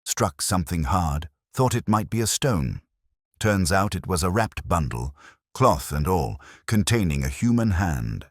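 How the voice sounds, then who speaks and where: A man's voice: deep voice, very animated, measured pace, dry recording, clean studio sound, heavily acted. A man voicing a dutiful servant in an audiobook, plain and respectful in his delivery. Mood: sombre, matter-of-fact.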